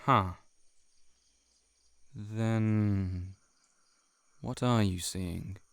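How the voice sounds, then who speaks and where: A man's voice: fairly low pitch, steady tone, vocal fry, clear, resonant tone, no room sound, heavily acted. A man playing a lovelorn shapeshifting monster posing as a friend in an intimate audio roleplay, speaking in an earnest, hesitant, pleading way. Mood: nervous, flustered. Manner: hesitant, halting, emotionally pleading.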